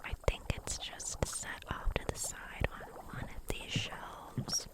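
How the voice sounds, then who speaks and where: Slow, whispering woman in soothing ASMR roleplay. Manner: gentle, soothing, unhurried whisper. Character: gentle kitchen witch hosting a visitor.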